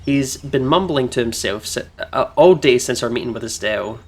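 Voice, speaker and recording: A man's voice: mid-range voice, lively intonation, moderate pace, a little mellow. Chatty man doing game playthrough commentary. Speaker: gaming video host. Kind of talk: playthrough commentary and reading visual novel text aloud.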